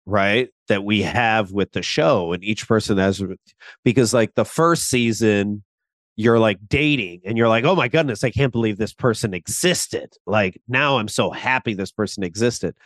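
Give me a man podcast co-host with a fairly low voice, musing in a dry room. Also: normal speed, fairly bright tone, dry recording. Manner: rambling, reflective. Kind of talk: casual podcast chat.

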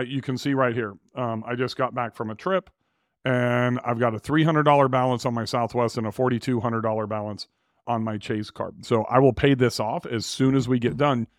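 A man hosting his own show, talking casually and in a rambling, self-correcting way with a slightly raspy, tired voice. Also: medium pitch, dry recording, studio quality. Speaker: podcast host. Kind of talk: casual solo talk on real estate finance.

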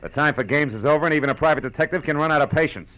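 An actor playing a tough, wry American private detective in 1940s radio style, clipped and wisecracking. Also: male voice, a little high, rapid-fire, a bit bright, close to an everyday voice, rough recording. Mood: tough, pressing. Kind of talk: 1940s radio detective acting and first-person narration. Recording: old radio drama with music behind.

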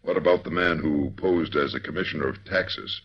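A gravelly, questioning official. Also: male voice, very deep voice, moderate pace, full character voice. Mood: incredulous. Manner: questioning, astonished. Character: incredulous male official colleague. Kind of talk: 1940s radio acting. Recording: dull old radio recording, faint hiss.

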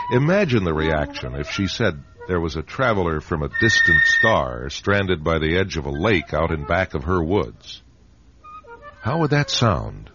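A man narrating a scripted radio drama as its host, telling the story directly to listeners. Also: low-pitched. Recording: dull radio recording. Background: music with flute.

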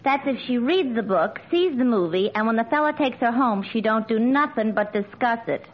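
A woman's voice: a little high, expressive, moderate pace, background noise, close to an everyday voice. An actress playing a brassy club woman, putting on refinement in a 1940s radio comedy. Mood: affected, bossy. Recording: dull old radio recording, studio audience.

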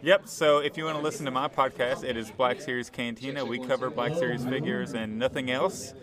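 Male interview guest, average pitch, relaxed conversational delivery, busy convention crowd in background. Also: a little fast. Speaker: interview guest, fellow podcaster. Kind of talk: casual chat. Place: booth at a busy fan convention.

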